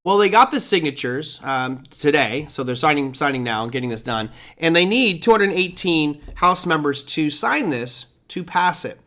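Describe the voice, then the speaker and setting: A middle-aged man with a slightly raised pitch hosts a live markets show, his voice hoarse and crackly after hours of teaching. He talks casually and bluntly with strong opinions, often restarting his sentences mid-thought. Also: expressive, normal speed, few pauses, sharp tone. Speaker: host.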